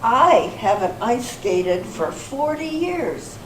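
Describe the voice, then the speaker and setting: A woman's voice: a little high, big pitch swings, slow pace, fairly bright tone, heavily acted. A woman acting in a readers theater piece as an older lady with a questioning, incredulous manner. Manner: questioning, echoing words back. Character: incredulous older lady being courted as calendar model. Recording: echoey hall from far off, faint noise.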